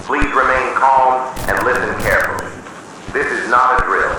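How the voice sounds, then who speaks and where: Heavily echoing, solemn male emergency announcer. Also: medium pitch. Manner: solemn, measured, official. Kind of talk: scripted analog horror emergency announcement. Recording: distant, heavily echoing, noisy emergency broadcast.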